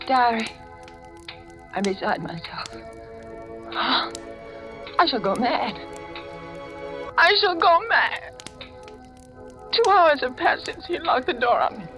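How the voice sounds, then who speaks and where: An actress playing a terrified, trapped woman in an old-time radio horror drama, speaking in a breathy, faltering, melodramatic way. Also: sits high, big pitch swings, takes it slowly, long pauses, exaggerated voice. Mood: desperate dread. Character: terrified, trapped woman guest fearing for her life. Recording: vintage radio drama, music underneath.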